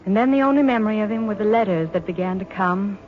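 An actress playing a proud, wounded mother, with solemn, halting delivery in 1940s radio drama style. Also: medium pitch, dull audio, close to an everyday voice. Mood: solemn, bitter. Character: wounded, proud wartime mother telling her story to her son.

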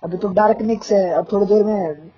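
A man's voice: high voice, steady tone, a little fast, breathy edge. A young man on a casual phone call, ranting insistently with playful threats in a nagging manner. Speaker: caller, gaming fan. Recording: muffled lo-fi phone call recording.

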